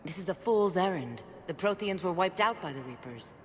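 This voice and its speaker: A woman's voice: fairly low pitch, expressive, moderate pace, slight background noise, close to an everyday voice. A woman playing a stern, proud alien councilor in a video game, formal, clipped and dismissive. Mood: skeptical. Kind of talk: dramatic video game acting. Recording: echoing hall, faint background ambience.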